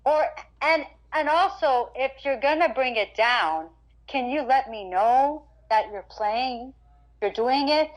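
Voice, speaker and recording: A woman singer chats loosely in an interview. Her voice sits a little high. She repeats words and restarts sentences as she goes. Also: animated, slow, rough recording. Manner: chatty, frequent restarts and stammers. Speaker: guest singer-guitarist. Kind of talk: casual interview chat.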